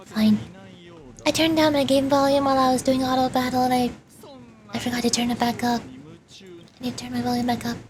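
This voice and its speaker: A woman doing casual livestream commentary as a game streamer, expressive and playfully dramatic. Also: high voice, normal speed, pauses often.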